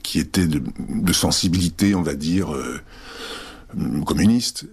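A middle-aged man with a very low, husky voice, a native Czech speaker talking in a halting way. He reflects slowly, pausing often and hesitating between thoughts as he answers in an interview. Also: a little mellow. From France. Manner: halting, many pauses and hesitations. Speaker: guest.

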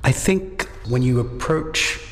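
A man's voice: mid-range voice, takes it slowly. A middle-aged man, a native English speaker and university lecturer, giving thoughtful, articulate interview answers in a reflective, lightly self-deprecating way. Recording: echoey hall with long reverb.

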